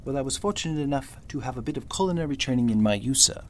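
Man playing brisk, deferential butler. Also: medium pitch, expressive, natural voice. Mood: deferential, obliging. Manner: formal, servile courtesy. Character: deferential, polite male butler and cook. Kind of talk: old-time radio drama acting.

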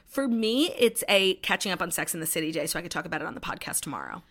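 A woman's voice: fairly low pitch, expressive, quick pace, a bit bright. A woman co-hosting a podcast in casual chat, blunt and teasing, swearing freely. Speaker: podcast co-host.

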